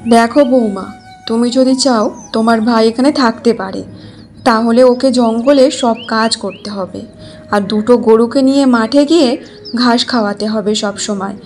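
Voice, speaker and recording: Woman voicing a high-pitched, bossy mother-in-law. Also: measured pace, a little mellow, soft background music, lightly acted. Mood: bossy, calculating. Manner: bossy, bargaining. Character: stern, exploitative older village mother-in-law. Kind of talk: cartoon folk-tale voice acting. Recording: noisy track with birdsong.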